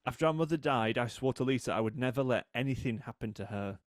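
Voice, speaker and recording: A man performs a wronged British brother in an audio drama. He is cool and sardonic, needling his listener with deliberate, knowing phrases in a mid-pitched voice. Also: natural voice. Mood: firm, protective.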